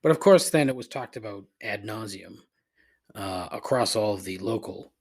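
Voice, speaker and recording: A man's voice: fairly low pitch, lively intonation, moderate pace, a little mellow. A congested, slightly nasal man hosting a solo podcast, rambling and joking at his own expense on a cheap microphone in a cavernous, echoey room. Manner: rambling, self-deprecating, many fillers and restarts. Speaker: podcast host. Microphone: cheap desk microphone.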